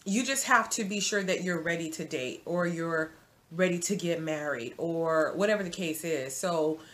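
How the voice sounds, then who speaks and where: A woman in her forties giving casual dating advice to viewers from her home, chatty and animated, calling the viewer sis. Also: lowish voice, moderate pace. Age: 40s. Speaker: vlogger. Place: home room, indoors.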